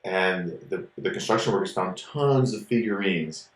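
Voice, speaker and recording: A man who grew up in Ecuador tells stories as a podcast co-host, rambling and animated, with "like" in nearly every phrase. His voice sits low. The room around him is echoey. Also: normal speed. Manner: rambling and animated, many "like" fillers. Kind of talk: casual storytelling chat.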